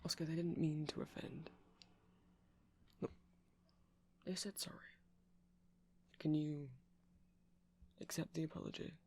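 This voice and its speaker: A man playing a nerdy, gentle vampire shop clerk in an ASMR roleplay, rambling in a hesitant, softly polite, apologetic way. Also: fairly high pitch, flat delivery, a little slow, pauses often, breathy edge. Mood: apologetic, fond.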